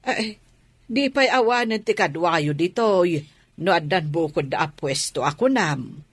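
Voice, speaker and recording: Husky, lowish, reproving family woman, played by an actress. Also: animated, a little slow, no room sound. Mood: surprised, questioning. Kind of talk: Ilocano radio drama acting.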